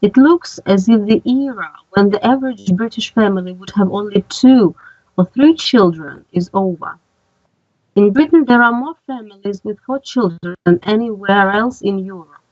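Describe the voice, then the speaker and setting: Woman in her thirties, adult student, careful slow reader, dry dull call audio. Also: mid-range voice, animated, darkish tone, dry recording. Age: 30s. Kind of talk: reading aloud in class. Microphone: video call.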